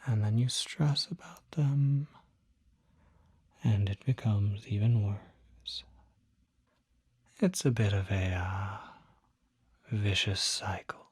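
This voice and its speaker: A performer voicing a calm, attentive demon doctor in a soft-spoken ASMR roleplay, often whispering, with gentle, deliberate questioning. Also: low-pitched, breathy edge, dry recording, clean studio sound, exaggerated voice. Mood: gently reassuring. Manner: slow, deliberate, gentle bedside questioning with pauses.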